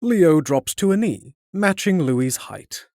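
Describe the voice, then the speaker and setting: A man's voice: on the high side, lively intonation, slow, darkish tone, no room sound. Male fantasy narrator, dry close sound. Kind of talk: scripted fantasy drama narration.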